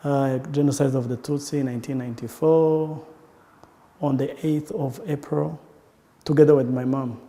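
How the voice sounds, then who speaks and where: A man with a Rwandan accent recalling his family history in an interview, speaking haltingly and often restarting his sentences. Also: mid-range voice, fairly even intonation, dark tone, in a large hall. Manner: halting, searching for words with frequent restarts. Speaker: entrepreneur. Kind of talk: personal recollection in an interview.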